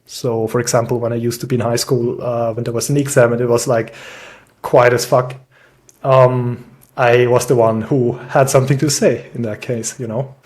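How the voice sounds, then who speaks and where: A man's voice: mid-range voice, level tone, normal speed, a little mellow. A young Swiss man, a podcast guest, talking in a chatty, self-deprecating way and laughing often over a video call. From Switzerland. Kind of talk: casual conversational interview.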